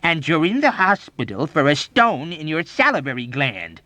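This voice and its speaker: A man's voice: mid-range voice, expressive, takes it slowly, mellow, no room sound. A man voicing a belligerent, easily offended army major in an audiobook, indignant and accusatory. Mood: scornful fury. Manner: indignant, accusatory, badgering, emphatic. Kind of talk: audiobook character acting.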